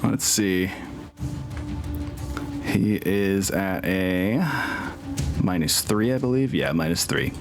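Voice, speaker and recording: A man working as game master, narrating a tabletop game and thinking aloud in a deliberate way. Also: low voice, expressive. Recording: music behind the voice. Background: background music.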